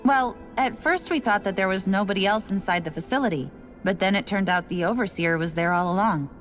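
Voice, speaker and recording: An actress playing a resigned, matter-of-fact station worker in a video game, explaining things in a casual, unbothered way. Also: female voice, medium pitch, brisk, soft background music, close to an everyday voice. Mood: candid. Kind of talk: video game cutscene voice acting.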